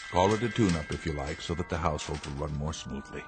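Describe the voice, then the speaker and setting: Deep-voiced, commanding male inventor. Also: music in the background. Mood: calm, evasive. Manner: measured, authoritative, formal. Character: calm, persuasive male inventor and master of the household. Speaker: actor in a radio drama. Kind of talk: radio drama acting.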